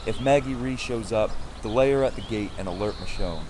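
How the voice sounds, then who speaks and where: An actor playing a weary, earnest community leader in an audio drama, with a measured delivery. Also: male voice, lowish voice, close to an everyday voice. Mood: commanding, urgent. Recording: clearly noisy outdoor ambience behind voice.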